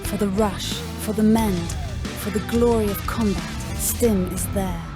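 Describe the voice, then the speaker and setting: A woman's voice: unhurried, lightly acted. A woman playing an enthusiastic announcer selling a battle stimulant in a dramatic sci-fi radio commercial. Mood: rousing. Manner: rousing, emphatic sales pitch. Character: enthusiastic female pitch voice for a sci-fi combat stimulant. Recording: loud plucked-string music bed. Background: loud guitar music.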